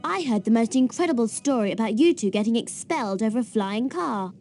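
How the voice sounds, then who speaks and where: An actress playing a brisk, bookish young schoolgirl witch in a video game. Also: fairly high pitch, animated, warm tone, faint music behind. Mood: prim, disapproving.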